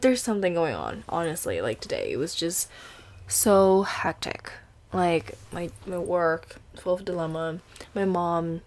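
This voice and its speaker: A woman's voice: lowish voice, a little mellow. A woman in her twenties with an Indonesian accent, who speaks English as a second language. She hosts her podcast in a rambling, giggly casual chat with many restarts, and she sounds teary after crying. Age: 20s. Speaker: podcast host.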